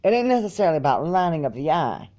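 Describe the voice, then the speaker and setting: A man's voice: on the high side, very animated, fast, darkish tone, lo-fi sound, pitched above the performer's own voice. A man voicing a blunt, teasing, affectionate female farm pony. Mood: critical, instructing. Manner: instructive, frank, folksy.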